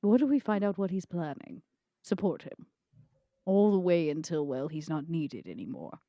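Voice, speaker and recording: A woman playing a haughty, scheming female vampire in a tabletop game, with a dry, sardonic, deliberate delivery. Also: sits low, animated, warm tone, no echo. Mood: sly, calculating.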